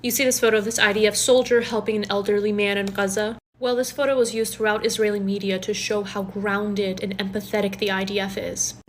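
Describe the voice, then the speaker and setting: A woman of middle pitch explains in a questioning tone. Faint noise sits behind her voice. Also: level tone, a little fast, hardly any pauses. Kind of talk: explainer commentary.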